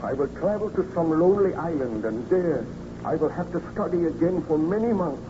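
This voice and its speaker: An actor playing an anguished, guilt-ridden scientist baron in a theatrical, formal old radio melodrama style. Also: male voice, fairly high pitch, lively intonation, fairly quick, natural voice. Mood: anguished, desperate. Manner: theatrical, fervent, formal diction. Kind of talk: 1930s radio melodrama acting. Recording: muffled, noisy old radio recording.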